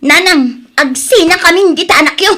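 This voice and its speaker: A woman's voice: extremely high pitch, moderate pace, sharp tone, faint music behind. A woman acting as an angry young wife who scolds her husband and leaves him, in a tearful, accusing Ilocano drama voice. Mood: resolute.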